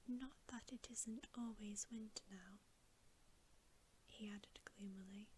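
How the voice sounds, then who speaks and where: A woman's voice: mid-range voice, fairly even intonation, slight background noise. Whispering woman reading a bedtime story. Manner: soft, unhurried, breathy whispering. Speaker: ASMR storyteller. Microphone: close microphone.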